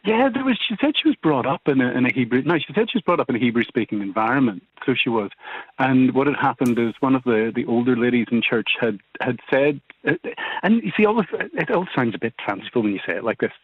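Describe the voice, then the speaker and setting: A man's voice: medium pitch, sing-song, fast talker, slightly rough, no echo. A man, a church minister, recounting events as a radio interview guest in a hesitant way, repeating his words. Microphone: phone line. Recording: tinny dry phone line.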